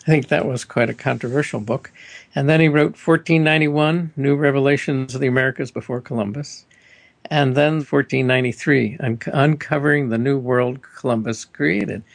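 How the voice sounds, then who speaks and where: A man's voice: medium pitch, steady tone, moderate pace, gravelly, dry recording. A man hosting a radio interview, asking questions in a chatty, enthusiastic way with frequent uhs.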